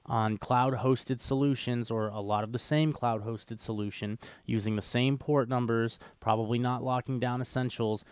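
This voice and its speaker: Male co-host, mid-pitched, very clear and dry-sounding, casual joking commentary. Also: fairly even intonation, normal speed, hardly any pauses, a little mellow, no room sound. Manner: wry, joking. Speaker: co-host of a cryptocurrency show. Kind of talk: casual podcast banter and commentary.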